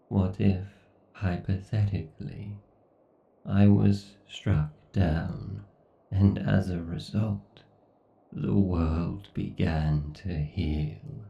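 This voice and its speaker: A man playing an obsessed, sadistic, godlike AI that speaks with slow, theatrical menace in a horror ASMR roleplay. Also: low-pitched, vocal fry, echoey room, distant mic, muffled recording, exaggerated voice. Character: obsessed, sadistic, godlike male AI tormenting its captive human.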